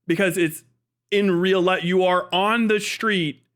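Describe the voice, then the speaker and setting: High-pitched man, emphatic livestream commentary. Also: steady tone, measured pace, a bit bright. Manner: profane, emphatic, opinionated, repeats words for stress. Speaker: livestream host.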